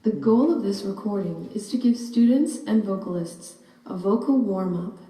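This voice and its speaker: A woman giving scripted vocal warm-up instructions in a calm, measured way. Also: medium pitch. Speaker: vocal coach. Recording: echoey recording from far off.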